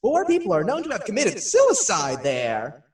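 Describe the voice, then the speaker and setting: An actor playing a brash, excitable 1940s radio disc jockey, chatty and showy, full of teasing questions. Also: male voice, sits high, normal speed. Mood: teasing, excited. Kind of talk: old-time radio DJ patter, radio acting. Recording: roomy echo, distant, music behind.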